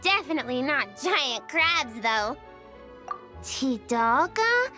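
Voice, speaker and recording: A woman's voice: sing-song, slow, faint music behind, exaggerated voice. A woman voicing a small, cute, childlike female fairy creature in a video game, cheerful and earnest, with exclamatory delivery. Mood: cheerful, chatty. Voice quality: high, bright, childlike.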